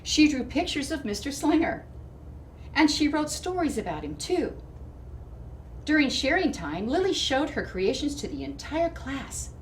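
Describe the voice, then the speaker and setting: A woman reading a storybook to children in expressive narration, with deliberate, emphatic phrasing. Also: high-pitched. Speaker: storybook reader. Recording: distant mic with clear background noise.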